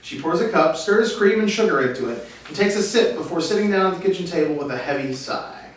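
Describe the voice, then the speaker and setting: A man narrates stage directions from a script in a fairly high voice. He is distant from the microphone, in a very echoey room. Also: expressive, fairly quick. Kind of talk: scripted narration at a table read. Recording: very echoey room, distant mic, faint hiss.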